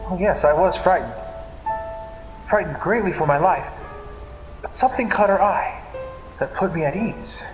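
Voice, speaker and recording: A man narrating a scripted story in a theatrical, deliberate manner, like an old-time radio serial. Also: high voice, lo-fi sound. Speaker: narrator of a dream story series. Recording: echoey reverberant hall, loud music behind. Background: music.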